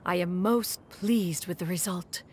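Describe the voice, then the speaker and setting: A woman voicing a poised, wise immortal inventor in a video game, speaking calmly and formally. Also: on the low side, unhurried, slightly rough. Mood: composed. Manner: calm, formal, composed.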